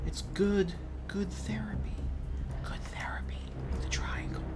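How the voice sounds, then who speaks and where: A man's voice: high-pitched, wildly swooping pitch, unhurried, whispered, over music. A tired, congested man streaming live, casually thinking aloud as he works and correcting himself mid-sentence. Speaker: modding streamer. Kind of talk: casual live-stream commentary while working.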